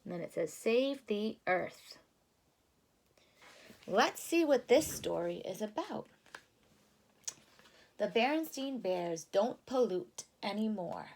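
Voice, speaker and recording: Slow, animated woman reading to children. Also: mid-range voice, vocal fry, rough recording. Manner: expressive, careful, explanatory. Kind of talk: children's storybook read-aloud with simple explanations.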